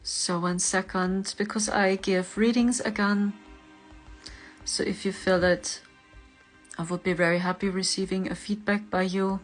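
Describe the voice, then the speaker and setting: A woman's voice: medium pitch, fairly even intonation, unhurried, soft background music, low-quality recording. A woman whose first language is German, hosting a casual spiritual livestream, reading viewer comments and laughing often. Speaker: spiritual reader, livestream host.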